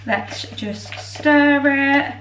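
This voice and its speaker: A young woman in her twenties, tired and a little croaky, chatting in a bubbly way to her camera while she bakes in her home kitchen. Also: high voice, drawn-out words, background noise. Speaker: vlogger. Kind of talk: casual chat. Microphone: far camera. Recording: echoey kitchen from far off.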